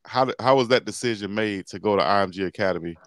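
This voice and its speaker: A man's voice: fairly low pitch, quick pace, no room sound. A man hosting a casual sports interview show over a video call with a headset, laid-back and chatty with frequent fillers. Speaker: sports talk show host. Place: indoor room, video call.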